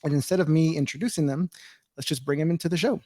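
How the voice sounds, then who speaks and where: A man's voice: on the high side, fast talker, darkish tone, dry recording. A man in his forties co-hosting a casual livestream chat, upbeat and rambling, laughing often. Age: 40s. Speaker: livestream co-host.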